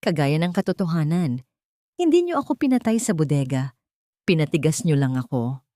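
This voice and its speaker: A woman with a Filipino accent voicing a dignified, vengeful young wife in a measured, menacing way. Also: low-pitched, very animated, warm tone, no echo, studio quality. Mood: hard, resolute.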